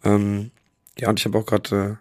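A man who is sick and hoarse, co-hosting a podcast in casual chat, often halting to search for words. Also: fairly low pitch, monotone, a little mellow, no room sound, studio quality. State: hoarse from a cold. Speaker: podcast co-host.

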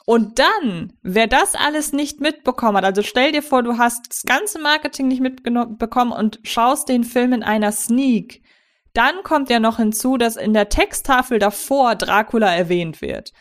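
A female podcast host with a fairly high voice talks over a horror film in a relaxed, conversational way. She explains her points at length and fills her pauses with small "ähm"s. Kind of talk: casual film review chat.

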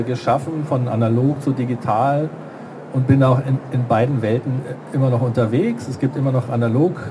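Casting note: German man in his sixties, mid-pitch, searching for words with lots of fillers. The hum of a busy trade fair hall sits clearly behind him. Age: 60s. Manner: hesitant, many fillers and restarts. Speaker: guest artist. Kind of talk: interview conversation.

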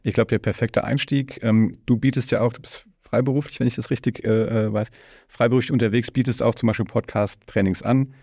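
A man's voice: medium pitch, steady tone, a little fast, mellow, breathy edge, dry recording, clean studio sound. A man hosting a podcast interview in a casual, conversational way, with rambling, circling phrasing. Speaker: podcast host interviewing a guest.

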